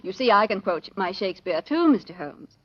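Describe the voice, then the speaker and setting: A woman's voice: medium pitch, sing-song, brisk, no room sound. An actress playing a haughty, sly aristocratic lady in a radio mystery, with imperious, clipped, formal speech. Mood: imperious, challenging. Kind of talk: vintage radio mystery acting. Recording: dull, dry old radio recording.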